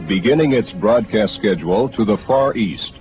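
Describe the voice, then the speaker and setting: A man with a fairly low voice reads a formal, measured radio sign-on. The old shortwave recording is dull, with faint music. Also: lively intonation. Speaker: radio station announcer. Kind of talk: formal scripted station sign-on.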